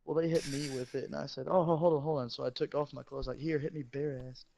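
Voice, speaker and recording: A teenage man, a high school football player, casually telling crude, joking, rambling stories to a friend. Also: fairly even intonation, fast talker, gravelly, darkish tone, dry recording.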